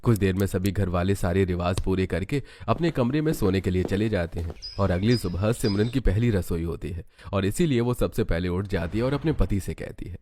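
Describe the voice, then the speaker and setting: A man's voice: deep voice, normal speed, hardly any pauses, warm tone, a little hiss or hum. A man reading scripted storytelling narration for a cartoon.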